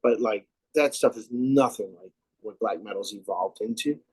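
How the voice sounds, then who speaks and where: A man's voice: on the low side, moderate pace, a little mellow, breathy edge, no echo. A man hosting a casual music interview, laid-back, asking questions and laughing easily. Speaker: podcast host.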